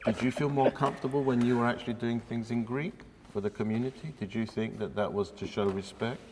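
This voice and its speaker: A man speaking haltingly in a casual church panel discussion, with many fillers. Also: mid-range voice, background noise. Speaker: panel member. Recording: long reverb in a hall.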